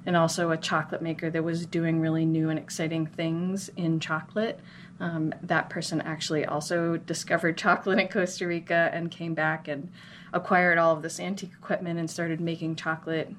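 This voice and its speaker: An American woman from Minnesota telling her story as an interview guest in an earnest, reflective, conversational way. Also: fairly low pitch. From Minnesota, United States. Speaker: interview guest, chocolate business owner. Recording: clear background noise, room ambience.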